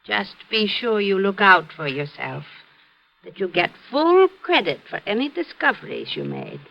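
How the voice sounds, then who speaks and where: An actress playing a quiet, gentle, guarded widow in a 1950s radio drama, hushed and hesitant. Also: female voice, medium pitch, huge pitch swings, moderate pace, vocal fry. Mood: guarded, wistful. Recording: muffled vintage radio recording.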